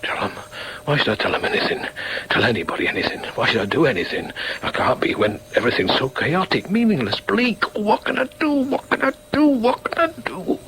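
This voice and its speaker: An actor playing a despairing working-class husband with a Northern English accent in a radio drama, sulky and bitter. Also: male voice, on the high side, expressive, brisk, a bit bright, a little hiss or hum, rough recording.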